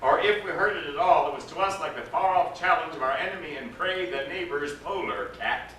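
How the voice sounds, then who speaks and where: A man's voice: fairly high pitch, a little fast, pushed and bright. A man giving a dramatic storybook recital to a live gathering, lingering theatrically on his phrases. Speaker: actor giving a reading. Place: live event before an audience. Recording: roomy echoing live recording, distant microphone, faint noise.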